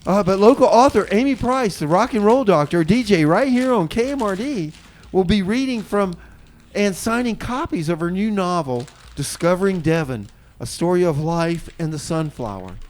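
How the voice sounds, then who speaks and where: A man's voice: high-pitched, lively intonation, normal speed. A man hosting an informal radio comedy show, speaking in a hesitant, conversational way and often repeating his phrases. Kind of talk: improvised comedic radio chat.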